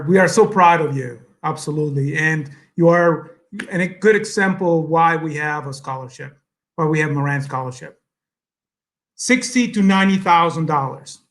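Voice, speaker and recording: Salvadoran man giving a halting, earnest talk. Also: on the high side, fairly bright tone. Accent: Salvadoran Spanish. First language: Spanish. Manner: earnest, halting, many fillers, switches to Spanish. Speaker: guest speaker. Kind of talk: motivational speech at an event.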